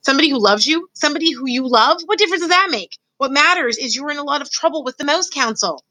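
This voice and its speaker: A woman's voice: sits high, expressive, fast, bright, forceful tone, dry recording, pitched above the performer's own voice, poor audio. A woman voicing a brash, impatient young male mouse who talks in an exasperated, blunt way.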